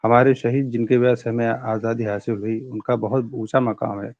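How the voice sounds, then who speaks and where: A man speaking as a poet on a remote link, formally thanking the host and then chanting his verse in long, drawn-out, sung lines. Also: mid-range voice, little pitch movement, a little mellow, no room sound, muffled recording. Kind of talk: reciting verse in a slow chant.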